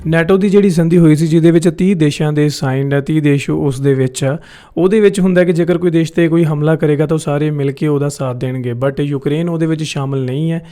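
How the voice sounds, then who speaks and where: A man's voice: a little high, fairly even intonation, fairly quick, warm tone. A man from India with an Indian Punjabi accent giving casual commentary to viewers, dropping in English words. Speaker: host of a reaction video channel.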